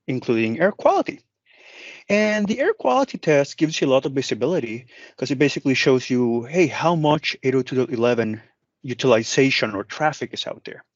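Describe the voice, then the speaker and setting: A man's voice: mid-range voice, dry recording, lo-fi sound. A man giving a conversational product walk-through, explaining each step directly to the viewer. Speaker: product manager presenting a product demo. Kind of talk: software walk-through tutorial.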